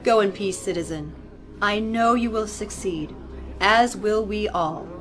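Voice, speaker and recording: An actress playing a stern, zealous religious leader in an audio drama, speaking in a formal, commanding, preaching way. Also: female voice, mid-range voice, measured pace, lightly acted. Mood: pious, formal. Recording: music playing behind the voice.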